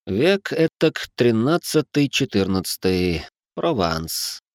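A man voicing a soft-spoken, intelligent middle-aged man who speaks calmly with mild irony. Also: fairly low pitch, slow pace. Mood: polite. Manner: calm, mild, ironic.

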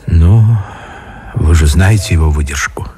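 An actor playing a loyal, sober and honest officer in a radio drama, speaking in a formal, solemn, courteous way. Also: male voice, a bass voice, unhurried, mellow, slight background noise. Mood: grave, courteous. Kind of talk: Russian radio drama acting.

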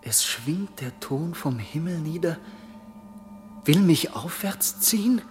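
An actor playing an awestruck, grieving young shipwrecked prince, declaiming reverent lofty verse in a classic radio drama. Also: male voice, on the high side, lively intonation, takes it slowly, big-room sound, faint music behind. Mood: wondering, mournful.

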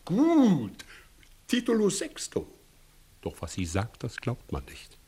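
An actor playing a shifty, blustering village judge, pompous and hemming, in a 1960s German radio play. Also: male voice, fairly high pitch, wildly swooping pitch, takes it slowly, dark tone, full character voice.

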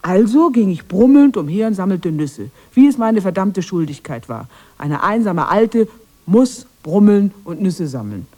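A woman's voice: medium pitch, big pitch swings, a little slow, dark tone. An actress playing a lonely, misunderstood witch of the woods in a radio drama, defending herself in a plaintive way. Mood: wistful, self-justifying. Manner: plaintive, self-justifying.

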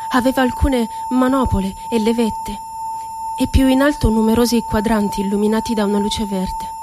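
Woman asylum inmate, high voice, lively swooping intonation, theatrical radio monologue, bitter irony, deliberate storytelling. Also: music in the background. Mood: frightened, anguished. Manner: sardonic, dramatic, deliberate storytelling. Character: woman psychiatric inmate recounting asylum horrors, bitterly ironic. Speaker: stage actress.

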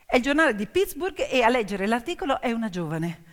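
A woman performing emphatic theatrical storytelling, with rhetorical questions and dramatic pauses. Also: fairly high pitch, big pitch swings. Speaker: stage storyteller, actress. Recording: long hall reverb, music behind. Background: piano music.

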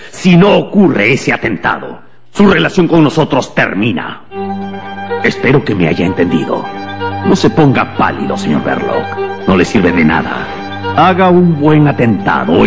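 A scornful, domineering embassy official in a radio drama. His voice swings widely in pitch with a gravelly creak, hectoring and emphatic, ringing in a long hall reverb. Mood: threatening. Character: imperious, contemptuous embassy official, pale and melancholy.

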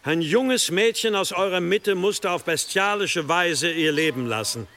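An actor in a radio drama playing an earnest missionary monk making a solemn, persuasive, declamatory address. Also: male voice, high-pitched, lively intonation, a little slow, fairly bright tone, heavily acted. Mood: earnest appeal. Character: earnest missionary monk preaching to hostile villagers. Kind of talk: radio drama, public oratory. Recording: faint outdoor ambience with sheep.

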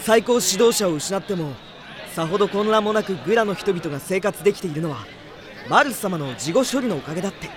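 Devoted, boyish young male mage, very high for a man, emphatic radio drama delivery. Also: animated, a little fast, a faint crowd. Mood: admiring. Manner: earnest, polite, emphatic. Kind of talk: Japanese radio drama acting.

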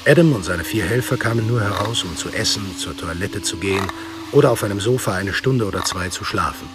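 A man's voice: on the low side, fairly quick, noisy background. A man narrating a radio drama from a script.

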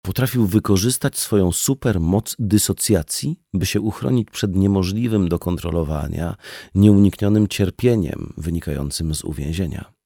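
A man's voice: sits low, normal speed, gravelly, mellow, breathy edge, dry recording, clean studio sound. A man reading a scripted audiobook dialogue as a narrator. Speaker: audiobook reader.

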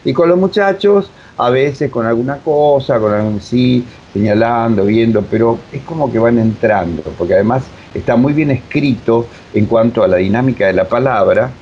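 A man's voice: moderate pace, few pauses. A man with a Rioplatense Argentine accent talks casually as an interviewed director, rambling emphatically and searching for words. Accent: Rioplatense Argentine Spanish. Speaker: radio drama director being interviewed. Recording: muffled, low-fi with faint noise.

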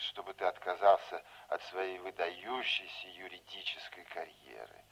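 An older man acting in a radio play as a folksy, scheming veteran politician, unhurried, fatherly and insinuating. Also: sits low, dull audio. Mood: smooth, paternal.

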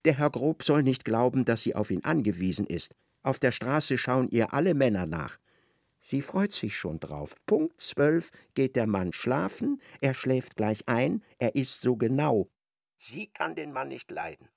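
A man's voice: medium pitch, big pitch swings, unhurried, gravelly, dry recording, studio quality. A man narrating a literary radio play in a theatrical manner, retelling conversations in reported speech.

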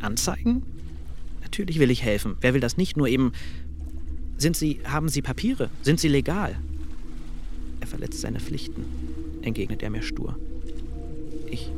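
An actor playing an exasperated man recounting an encounter in a radio drama, terse and clipped, with a creaky voice. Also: medium pitch. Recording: clear background ambience noise.

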